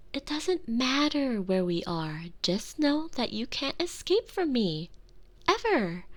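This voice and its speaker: Casting: actress for obsessive yandere girlfriend, ASMR roleplay. High, slow delivery, sweetly condescending, menace under the doting. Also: heavily acted. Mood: smug, possessive. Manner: saccharine, slow, affectionate, condescending. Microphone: close binaural microphone.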